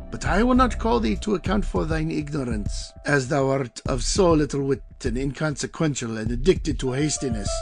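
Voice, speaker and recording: Pompous, long-winded meddler of a barber played by a man, higher-pitched male voice, declamatory storybook audio drama over clear music. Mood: scolding. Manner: declamatory, archaic, self-righteous.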